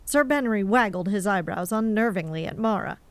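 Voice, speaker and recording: A woman's voice: mid-range voice, expressive, brisk, no room sound. A woman giving a scripted narration of a comic story.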